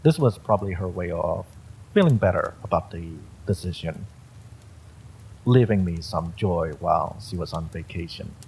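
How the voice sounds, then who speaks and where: A man's voice: deep voice, animated, normal speed, creaky, a little mellow, a little hiss or hum, poor audio. A man reading a first-person story aloud as a dramatic narrator. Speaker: story reader.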